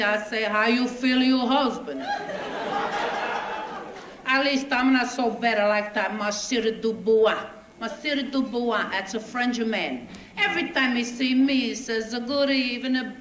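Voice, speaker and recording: A woman's voice: on the high side, a little slow, crowd noise, natural voice. A woman comedian playing a chatty Italian immigrant woman with a thick accent and broken English, telling indignant stories. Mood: indignant comic. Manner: indignant, storytelling, quoting others. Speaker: stand-up comedian. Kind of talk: comic character monologue. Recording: echoey stage with audience noise. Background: audience laughter.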